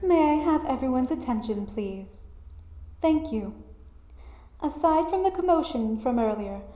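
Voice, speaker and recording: A woman acting a firm, commanding grown woman in a school cartoon, speaking with deliberate authority. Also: high-pitched, animated, warm tone, reverberant. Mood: formal announcing. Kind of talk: animated fan drama acting.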